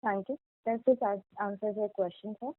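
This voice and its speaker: A woman's voice: medium pitch, steady tone, fairly quick, dry recording. A woman moderating a conference call as operator, formal and polite with scripted lines. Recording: dry tinny phone line.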